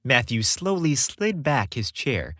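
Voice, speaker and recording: A man narrating a scripted romantic story in a deliberate, expressive storytelling manner. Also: medium pitch, no echo, clean studio sound.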